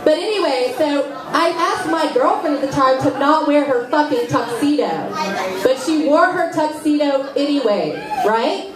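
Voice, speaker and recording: A woman's voice: high voice, a little slow. A woman voicing a brash, foul-mouthed goth woman puppet in a live comedy act, bratty, taunting and sardonic. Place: small indoor club stage, far camera. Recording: roomy, distant mic in noisy crowd.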